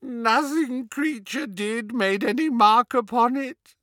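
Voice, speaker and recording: High-pitched, sobbing old male house-elf. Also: a little slow, sharp tone, no room sound, clean studio sound, heavily acted. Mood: wretched, tearful. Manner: refers to self in third person, moaning. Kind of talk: audiobook character acting.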